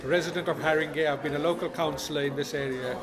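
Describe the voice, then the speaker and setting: An older man from Zimbabwe, in his sixties, talks in a fairly high voice for an interview. A loud crowd chatters around him. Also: steady tone, fast talker. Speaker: retired local councillor. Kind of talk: informal event interview. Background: loud music, busy crowd.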